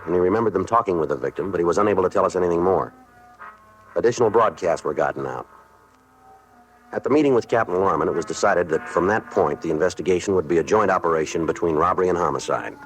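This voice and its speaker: An American man playing a terse, businesslike police detective who narrates a 1950s radio crime drama in a clipped, deadpan way. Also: sits low, rough recording. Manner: clipped, deadpan, matter-of-fact. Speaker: lead actor and narrator. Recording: vintage radio recording with music.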